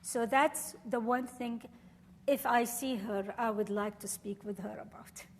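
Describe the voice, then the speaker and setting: A woman from Syria whose first language is Arabic, giving a measured, earnest university lecture and answering questions. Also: on the high side, steady tone, long reverb tail, a little hiss or hum. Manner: measured, earnest, formal phrasing. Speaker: visiting scholar and lecturer. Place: lecture room.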